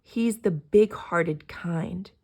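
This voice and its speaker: A woman's voice: on the low side, extremely slow, a little mellow, full character voice. A woman voicing a jolly, breezy, welcoming bug in a children's storybook.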